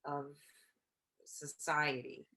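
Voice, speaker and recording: A woman's voice: low-pitched, level tone, very slow, bright, forceful tone. A woman hosting an interview, asking questions in an easygoing, encouraging way. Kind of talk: casual interview chat.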